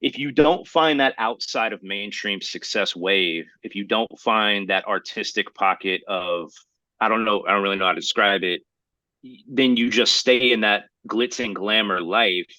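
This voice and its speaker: Bright, forceful man chatting off the cuff. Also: lowish voice, no room sound, rough recording. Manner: halting, rambling, hedging delivery; slangy, addresses listener as bro. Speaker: podcast co-host. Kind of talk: casual opinionated chat.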